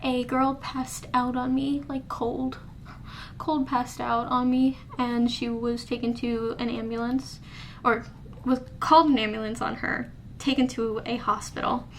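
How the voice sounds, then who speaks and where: A woman's voice: fairly high pitch, level tone, unhurried, slight background noise. A woman in her early twenties talking candidly to the camera in her bedroom, rambling with restarts in a casual diary vlog. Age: early 20s. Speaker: vlogger. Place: bedroom indoors. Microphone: camera in front of her face.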